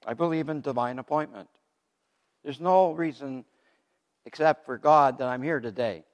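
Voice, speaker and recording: Older man, loud lively pulpit storyteller, mid pitch, ringing hall sound. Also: normal speed. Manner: loud, animated storytelling with laughs. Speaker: guest speaker giving testimony. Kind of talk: testimony sermon. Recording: long hall reverb.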